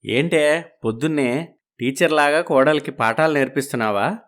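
A man voicing a middle-aged father-in-law who teases and probes gently in a storybook. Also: on the high side, moderate pace, lightly acted. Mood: wry. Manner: wry, probing, gently teasing. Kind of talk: storybook dialogue.

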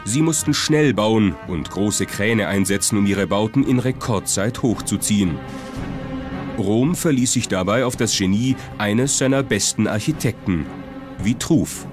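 A man's voice: fairly low pitch, a little slow. A man reading scripted documentary narration. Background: music.

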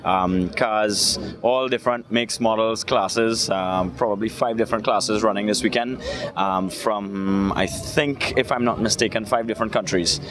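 Trini guy talking rally outdoors, lots of ums, crowd chatter around. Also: on the low side. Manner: relaxed, many "um" fillers, measured. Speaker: rally club president. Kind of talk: outdoor event interview. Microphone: handheld interviewer mic. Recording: outdoor event with crowd noise.

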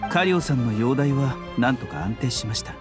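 A man playing a calm, courteous physician in a Japanese video game, speaking in a polite, formal and solemn way. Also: mid-range voice, fairly quick, natural voice. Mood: grave, measured. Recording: background music under the voice.

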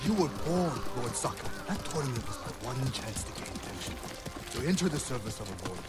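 An actor playing a proud, bitter ronin mercenary in a video game, gruff and aggrieved, in dramatic delivery. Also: male voice, mid-range voice, sing-song, brisk, natural voice. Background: game music.

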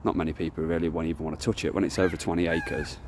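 Low-voiced man rambling by a lake. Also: fairly even intonation, racing, background noise. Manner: rambling, chatty, self-correcting. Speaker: carp angler vlogger. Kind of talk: casual walk-and-talk vlog. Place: outdoors by a lakeside, walking through bushes. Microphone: phone camera held while walking.

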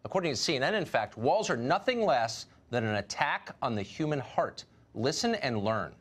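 A sardonic man in his forties hosts a news program, his pitch swinging widely as he mocks his targets. He speaks from a studio on a lapel mic with a clean, close sound. He also questions a guest in the same sharp tone. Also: sing-song, normal speed. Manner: mocking, sardonic delivery. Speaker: news host. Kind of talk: sarcastic scripted monologue.

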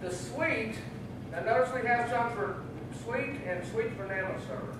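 A man's voice: very high voice, normal speed. A middle-aged man giving a casual technical presentation to an audience, often checking in with "right?". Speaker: presenter at a user group meeting. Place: large meeting room with audience. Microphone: room recording from a far camera. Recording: very echoey room, distant, noisy.

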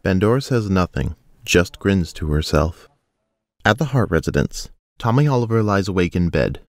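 A man's voice: deep voice, expressive, normal speed, dry recording, clean studio sound. A man reading scripted narration for an audio drama as its narrator.

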